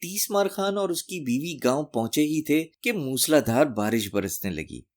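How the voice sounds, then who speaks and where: A man's voice: on the high side, lively intonation, normal speed. A man narrating a scripted folk tale for children as a storyteller.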